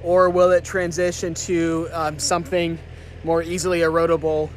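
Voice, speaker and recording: A man's voice: fairly high pitch, flat delivery, normal speed, slight background noise. A man talking casually to camera at an outdoor building site, thinking aloud and asking viewers questions, recorded on a handheld camera mic. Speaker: vlogger renovating a stone cabin. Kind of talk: casual vlog narration to camera.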